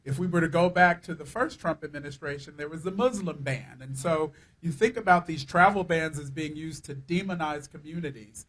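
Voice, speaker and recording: A man, a rights advocate, giving a blunt and forceful answer at a press conference. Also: fairly high pitch, brisk, hardly any pauses.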